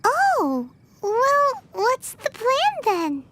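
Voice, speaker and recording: A woman voicing a tiny, bubbly female fairy companion in a video game, cheeky and chirpy in a high childlike voice. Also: wildly swooping pitch, extremely slow, a bit bright, a little hiss or hum, exaggerated voice. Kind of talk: video game cutscene dialogue.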